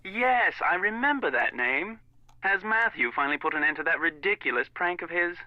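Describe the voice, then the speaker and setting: A man's voice: sits high, lively intonation, normal speed, no echo. An actor playing a fussy, indignant Englishman in a video game, speaking formally with a British accent through a telephone filter. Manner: formal, pompous, clipped disapproval. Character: fussy, indignant English wedding guest on the phone. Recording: muffled narrow phone line sound.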